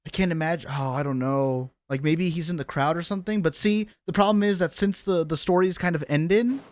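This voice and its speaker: A man's voice: a little high, brisk, slight background noise. A man streaming a game live, chatting casually and enthusiastically, rambling and repeating his phrases. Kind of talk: casual livestream chat and reaction. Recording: stream with game music behind. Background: game music.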